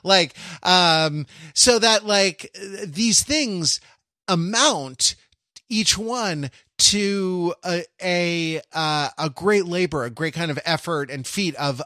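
Male podcast co-host, slightly high voice, loose rambling delivery, recorded dry and close. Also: sharp tone, dry recording. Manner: rambling, many restarts, laughing asides. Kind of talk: casual discussion.

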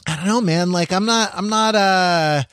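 Halting man thinking aloud, higher-pitched voice. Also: fairly bright tone, smooth, dry recording. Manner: halting, thinking aloud, hedging with "like" and "you know". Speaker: podcast co-host. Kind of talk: casual conversational podcast discussion.

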